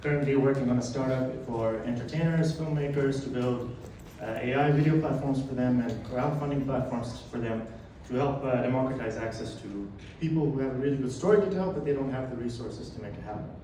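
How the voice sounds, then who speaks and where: A man's voice: medium pitch, brisk, dark tone, slight background noise. A man from Canada introducing himself as a startup founder in an easygoing way. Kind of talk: self-introduction at a meetup. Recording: echoey hall, distant mic.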